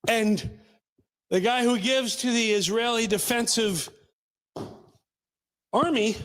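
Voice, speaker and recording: A man hosting a casual talk show, sarcastic and animated, now and then slipping into mocking voices. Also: high-pitched, slight background noise. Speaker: podcast host.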